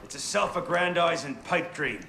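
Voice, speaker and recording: Gravelly, cynical assassin in echoing hall. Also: male voice, fairly high pitch, normal speed, sharp tone, exaggerated voice. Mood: dry scorn. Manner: dry, disdainful. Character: cynical, scornful male veteran assassin. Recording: long echoing hall, clear background noise.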